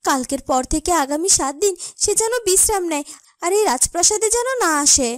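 A woman's voice: extremely high pitch, animated, brisk, no echo, clean studio sound. A woman playing a young, persuasive, anxiously scheming queen in a fairytale cartoon. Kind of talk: Bengali fairytale cartoon dubbing.

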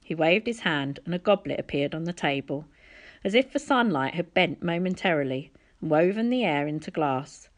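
Woman, storybook narrator, lower pitch, lively intonation, expressive scripted reading. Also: moderate pace. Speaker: audiobook reader.

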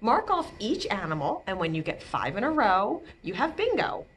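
A woman's voice: mid-range voice, big pitch swings, normal speed, fairly bright tone, a little hiss or hum. A middle-aged woman hosting a library storytime for young children, speaking expressively and drawing out key words for emphasis. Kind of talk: read-aloud for young children. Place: small indoor library room.

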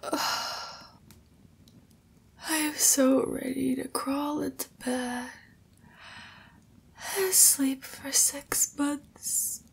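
A woman's voice: high voice, fairly even intonation, heavily acted. A young woman voicing an affectionate, teasing, playful girlfriend in a whispered, intimate ASMR roleplay. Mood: sleepy, playful. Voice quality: breathy, whispery. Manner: slow, playful, teasing, with sighs and soft chuckles.

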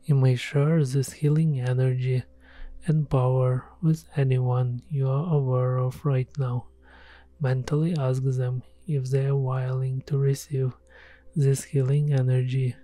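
Slow, mellow male meditation guide. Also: medium pitch, level tone, no room sound. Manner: soothing, gentle, measured delivery. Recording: soft music behind the voice. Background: background music.

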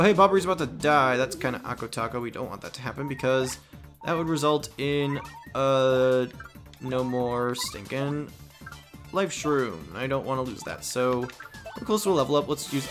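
A man's voice: mid-range voice, fairly bright tone. A man hosting a let's play, giving rambling, offhand commentary in a casual style.

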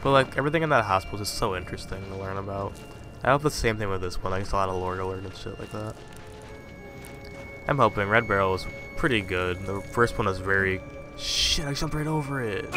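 A man playing a horror game, swearing and muttering casual asides, still slightly congested from a cold. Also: on the low side. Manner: profane, jumpy, muttering asides. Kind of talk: casual commentary.

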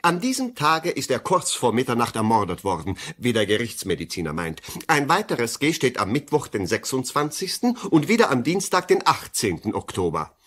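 Keen detective, very lively intonation. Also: male voice, mid-range voice, moderate pace, hardly any pauses, breathy edge, full character voice. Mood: precise. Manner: precise, deductive, courteous. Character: keen, polite male police detective, eager deputy. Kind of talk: radio drama acting.

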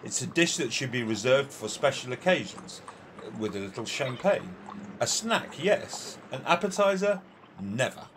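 A man acts out an opinionated admiral in a radio play. His delivery is theatrical and disputatious, swinging widely in pitch as he savours his words. There is faint noise on the recording. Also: very animated, unhurried. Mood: relishing, argumentative. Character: opinionated, food-loving old-fashioned military admiral, courteous and argumentative. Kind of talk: amateur radio play acting.

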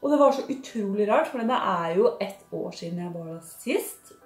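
A young woman with a Norwegian accent talks through a haul at her living-room table, gushing and expressive with plenty of sighs and fillers. Her voice sits at a middling pitch. The room adds a roomy echo, and faint music plays underneath. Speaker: beauty and lifestyle vlogger. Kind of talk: casual chatty haul vlog. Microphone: fixed camera at table distance.

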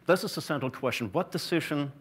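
A man's voice: on the high side, fast talker, a bit bright, husky. A middle-aged man presenting on a large conference stage through a stage mic. He talks in a loose, chatty way, throwing questions at the audience, and often restarts his sentences with small fillers. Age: 50s. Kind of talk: conference talk. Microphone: stage mic, far camera.

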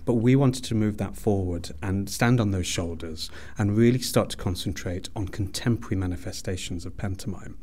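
Lower-pitched male academic interviewee. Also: normal speed, hardly any pauses, a little mellow. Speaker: university head of department, interview guest. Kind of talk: explanatory interview answer.

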